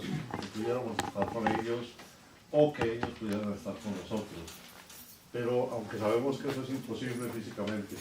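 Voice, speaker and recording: Low-voiced man preaching in echoey church. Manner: slow, earnest, with pauses and fillers. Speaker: lay preacher leading the service. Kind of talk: church welcome and sermon. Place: church sanctuary. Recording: echoey church, distant mic, noisy.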